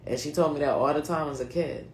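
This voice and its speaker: A woman's voice: low-pitched, quick pace, darkish tone, a little hiss or hum. A woman of nearly forty with a mixed US East Coast accent reads cards for her viewers. She talks in a loose, slangy, chatty way, addressing them directly. Her voice bounces around an echoey room. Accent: mixed US East Coast (New England, Philadelphia, North Carolina, Delaware). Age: late 30s. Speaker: card reader. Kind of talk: casual spoken card reading for viewers.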